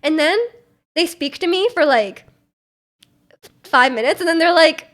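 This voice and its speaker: High-voiced chatty young woman streamer. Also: expressive, unhurried, fairly bright tone. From Canada. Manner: rambling, giggly, many fillers and restarts. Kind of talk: casual chat.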